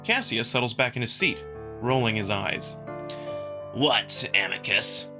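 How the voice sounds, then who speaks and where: A man's voice: medium pitch, sing-song, normal speed, over music. A man reading dramatic scripted narration for a story. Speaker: narrator and reader of a visual novel.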